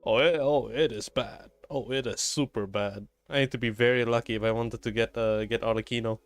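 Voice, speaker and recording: A man with a clean, clear voice streams a game, chatting casually and half to himself. His pitch sits in the middle range, and game music plays softly behind him. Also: steady tone, fairly quick. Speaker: game livestreamer.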